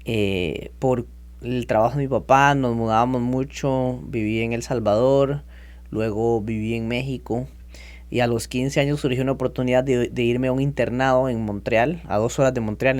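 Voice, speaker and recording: A man in his thirties from Costa Rica, telling his story as a podcast guest in a lively, chatty way. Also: mid-range voice, normal speed. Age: 30s. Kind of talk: casual interview talk.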